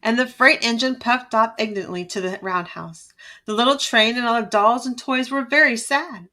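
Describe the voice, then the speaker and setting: Female children's storyteller: high, bright voice, expressive read-aloud delivery. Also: moderate pace.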